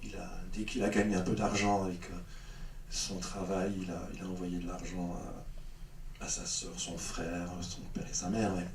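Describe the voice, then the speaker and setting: A man's voice: sits low, monotone, moderate pace. A middle-aged man, a film director, answering audience questions at a screening with many fillers and restarts. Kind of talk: spontaneous Q&A answers. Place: cinema hall. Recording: echoey cinema hall, distant mic, faint noise.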